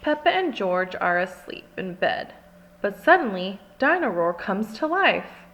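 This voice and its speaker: A woman's voice: mid-range voice, very animated, unhurried, a bit bright, highs cut off. A woman reading a children's storybook aloud, expressively and carefully phrased for small children. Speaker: storybook reader. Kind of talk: scripted children's read-aloud.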